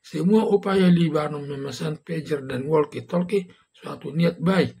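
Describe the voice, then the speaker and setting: Older male commentator, slightly high and raspy, formal, halting read from a script. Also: a little mellow. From Indonesia. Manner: formal, halting delivery with stumbles over words. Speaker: legal commentator, retired prosecutor and law lecturer.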